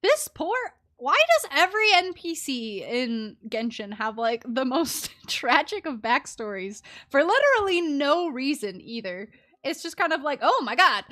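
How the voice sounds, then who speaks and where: Bright, high-voiced woman streamer. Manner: giggly, reactive. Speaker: game streamer. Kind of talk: casual live game commentary.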